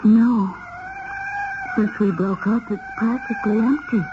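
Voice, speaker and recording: A woman's voice: fairly high pitch, wildly swooping pitch. An actress playing a shaken, hesitant married woman under suspicion in a 1970s radio mystery. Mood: anxious, uneasy. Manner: hesitant, halting delivery. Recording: dull, hissy 1970s radio recording.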